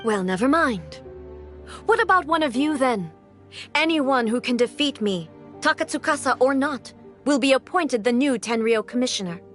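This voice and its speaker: A woman playing a stern, cold, imperious Shogun in a video game, speaking in a formal, measured, haughty way. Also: high voice, lively intonation, a bit bright, faint music behind. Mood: disdainful challenge. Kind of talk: video game character acting.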